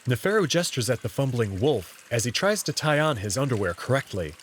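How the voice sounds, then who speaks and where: A man's voice: mid-range voice, sing-song, brisk, a little hiss or hum. A man reading first-person story narration in the role of narrator.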